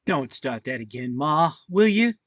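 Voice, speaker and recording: An actor playing a volatile, jealous young husband in a 1950s-style radio crime drama, with tense, clipped delivery. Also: male voice, on the high side, sing-song, a little slow, dry recording, muffled recording. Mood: uneasy, defensive. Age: young adult.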